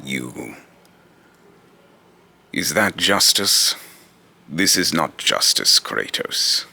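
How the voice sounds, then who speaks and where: A man acts out a menacing god of fear from a video game, in an extremely low male voice. He speaks slowly, with a gravelly creak and a pompous, scornful, taunting air. The delivery is theatrical and grandiose. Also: sing-song, pushed and bright, exaggerated voice. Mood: accusing. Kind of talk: rehearsed video game villain lines.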